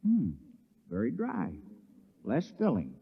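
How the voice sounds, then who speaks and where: An actor playing a mild, puzzled, reluctant villager in 1950s American radio drama, folksy and hesitant. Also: male voice, medium pitch, wildly swooping pitch, slow, gravelly, breathy edge, exaggerated voice. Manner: folksy, hesitant, plain-spoken. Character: reluctant, puzzled, mild-mannered villager made official criminal. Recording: muffled vintage radio recording.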